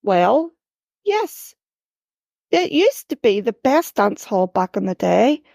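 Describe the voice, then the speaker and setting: A woman voicing a worried but loving mother who pleads and soothes, with a gravelly edge. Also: mid-range voice, very animated, slow, dry recording, studio quality, heavily acted. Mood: pleading. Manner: pleading, rising to upset shouting.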